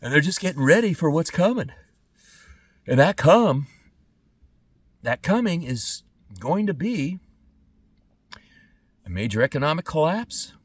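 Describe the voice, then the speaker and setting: A middle-aged man speaking an unscripted podcast monologue in emphatic, halting phrases with pointed pauses. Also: a little high, very animated, breathy edge, no room sound, recorded from a distance. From born in Trinidad and Tobago, lived in California. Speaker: podcast host.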